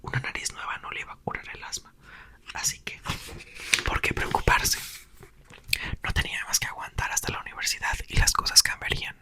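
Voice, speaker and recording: A man reading a novel aloud in a soft whisper for an ASMR video, sometimes tripping over a word and correcting himself. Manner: unvoiced whisper throughout, slow careful reading; stumbles and corrects himself on words. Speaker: ASMR creator.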